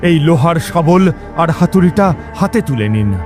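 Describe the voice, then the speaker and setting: A man's voice: fairly high pitch, big pitch swings, normal speed, over music. A man playing a stern, fearless tantric priest in a horror audio drama, solemn and commanding. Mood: ominous, commanding.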